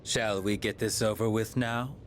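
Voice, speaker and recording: A man's voice: fairly low pitch, fairly even intonation, normal speed, slight background noise, lightly acted. A man playing a coldly courteous, sadistic game villain who speaks in a formal, pompous, aloof way. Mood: calm menace. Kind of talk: video game voice acting.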